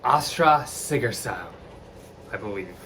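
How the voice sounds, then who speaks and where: A man's voice: on the low side, expressive, unhurried, pushed and bright, a little hiss or hum. A man reviewing a metal album, enthusiastic and searching for words with frequent restarts. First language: not Icelandic. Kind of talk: spoken music review.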